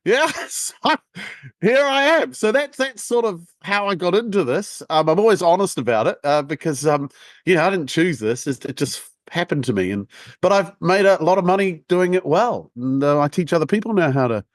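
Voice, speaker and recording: A man's voice: high voice, animated, normal speed, dry recording, studio quality. A man in his forties from New Zealand with a New Zealand accent, a sales trainer telling stories in a brash, joking way as an interview guest. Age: 40s. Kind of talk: casual interview chat.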